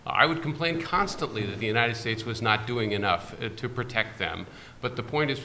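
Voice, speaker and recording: A male panelist answers in a fairly low, bright-toned voice. He argues bluntly and firmly. Faint background noise runs through the recording. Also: gravelly. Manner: blunt, argumentative.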